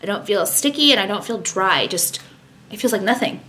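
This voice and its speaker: A woman's voice: medium pitch, expressive, moderate pace, pushed and bright, slight background noise. Chatty rambling woman vlogger. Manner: chatty, rambling, self-deprecating. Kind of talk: casual vlog chat with product talk. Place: bedroom at home, indoors. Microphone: camera at arm's length.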